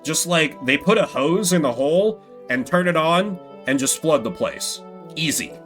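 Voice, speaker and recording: A man's voice: fairly high pitch, lively intonation, normal speed, a bit bright, faint music behind. A man giving casual, chatty commentary as a video game streamer. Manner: chatty, informal.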